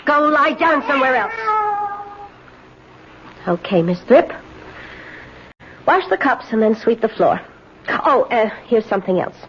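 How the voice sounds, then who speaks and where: A domineering woman who orders her host about in a taunting, commanding way. Her voice sits fairly high and moves up and down freely. It sounds like an old 1970s broadcast, dull and noisy. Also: lively intonation, unhurried, pauses often, fairly bright tone. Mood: imperious. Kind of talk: 1970s radio drama acting.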